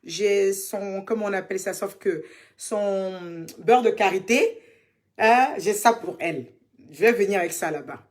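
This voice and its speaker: Young woman, Ivorian French accent, casual live Q&A host, teasing and affectionate with fans, soft quiet asides. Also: mid-range voice, measured pace. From Côte d'Ivoire. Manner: chatty and teasing, calls fans "ma chérie". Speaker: social media personality answering fan questions. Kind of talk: casual live Q&A reading viewer comments.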